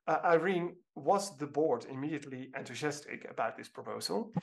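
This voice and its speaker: A man hosting a podcast interview, steering the conversation briskly with questions. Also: on the high side, expressive, vocal fry. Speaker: podcast host.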